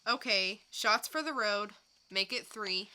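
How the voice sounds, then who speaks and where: A woman's voice: medium pitch, slow, pushed and bright, smooth. A woman acting a cool, weary, sardonic female mercenary in a comic book dramatic reading, terse and wry. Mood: breezy.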